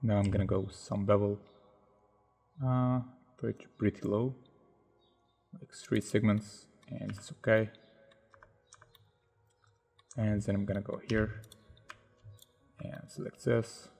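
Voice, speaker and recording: Tutorial narrator, man, non-native English, gravelly and fairly low, halting think-aloud delivery. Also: level tone, a little mellow. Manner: unhurried, thinks aloud step by step with many hesitations. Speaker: software tutorial instructor. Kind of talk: narrating a screen-recorded modeling tutorial.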